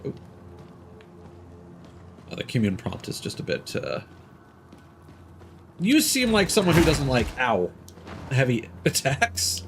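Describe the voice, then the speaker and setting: A man's voice: medium pitch, wildly swooping pitch, slow pace, gravelly, pauses often, over music. A young man streaming a game, chatting casually and thinking aloud as he plays. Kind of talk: live gameplay commentary.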